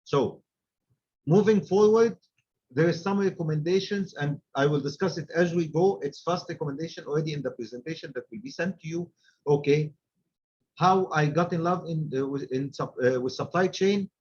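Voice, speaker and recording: Husky man giving a talk. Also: on the high side, normal speed, darkish tone, highs cut off. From Egypt. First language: Arabic. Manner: chatty, peppers talk with "okay". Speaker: guest speaker. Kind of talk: business presentation.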